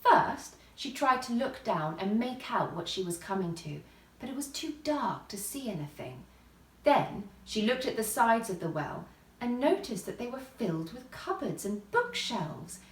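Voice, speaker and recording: A woman reading a children's story as expressive scripted narration, with a theatrical, deliberate storytelling manner. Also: fairly high pitch. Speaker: storybook reader. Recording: roomy echo, distant microphone.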